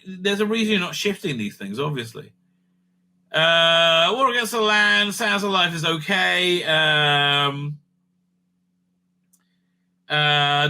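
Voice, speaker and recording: A man with a fairly high, strikingly bright and sharp voice hosts a casual review stream. He rambles off the cuff with firm opinions, often checking in with a short "right?" at the end of a thought. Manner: rambling, opinionated, repeatedly tags phrases with "right?". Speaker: host of a livestream review show. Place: indoors at home.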